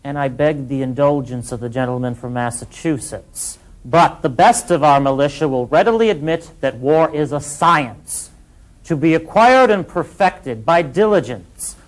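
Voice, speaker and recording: An actor playing an eloquent eighteenth-century delegate, speaking with courtly, deliberate oratory in a period drama. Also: male voice, on the high side, expressive, lightly acted, low-quality recording. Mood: measured, earnest. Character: measured, eloquent male 18th-century delegate. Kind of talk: period stage-like debate acting.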